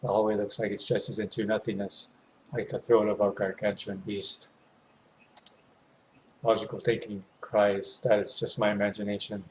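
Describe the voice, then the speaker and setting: A man reading first-person horror narration from a visual novel in a measured, hushed way, pausing between phrases. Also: lowish voice, little pitch movement, slight background noise, low-quality recording.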